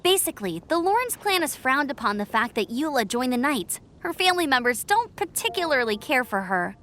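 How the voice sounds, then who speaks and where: A woman voicing a cheerful young female knight scout who talks in a friendly, lively, chatty way in a game cutscene. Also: high-pitched, a little fast. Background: game music.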